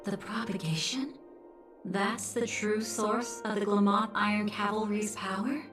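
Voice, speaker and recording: A woman voicing a cool, perceptive confidante in a video game, speaking in a measured, detached and philosophical manner. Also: mid-range voice, steady tone, lightly acted. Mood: calm, thoughtful. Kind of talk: dramatic video game voice acting. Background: music.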